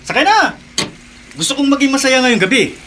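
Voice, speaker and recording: A man's voice: extremely high pitch, lively intonation, a little fast, pushed and bright, slight background noise, full character voice. An actor playing an eager, pushy man out for company in a Filipino radio drama, insistent and coaxing. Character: eager, pushy male customer in a car, boastful with money.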